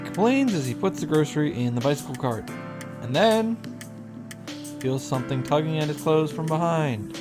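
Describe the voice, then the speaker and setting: A man's voice: on the high side, sing-song, normal speed, over music. A man giving casual, sarcastic reaction commentary while reading and summarizing a visual novel. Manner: sarcastic asides, casual swearing.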